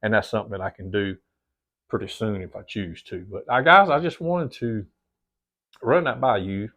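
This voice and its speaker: A man's voice: lowish voice, moderate pace, fairly bright tone. A middle-aged man, a little stuffy-nosed, chatting casually to camera in his home theater room and rambling, repeating words and calling his viewers "guys". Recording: dull lo-fi sound.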